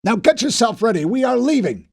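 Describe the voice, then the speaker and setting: A man voicing a furious, barking male army captain who shouts his orders. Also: very high-pitched, very animated, brisk, gravelly, slightly rough, voice pushed up, exaggerated voice.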